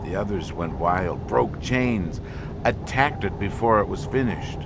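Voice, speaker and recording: An actor playing a grave expedition scientist in an audio drama, speaking deliberately with weighted, halting pauses. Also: male voice, sits low, animated, background noise. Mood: grave, haunted. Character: grave male expedition scientist explaining an alien menace.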